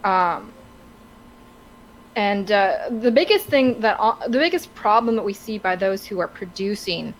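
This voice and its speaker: A woman co-hosting a podcast in casual, opinionated discussion, halting and stammering as she searches for words. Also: medium pitch, animated, rough recording. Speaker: podcast co-host. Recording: clear background noise.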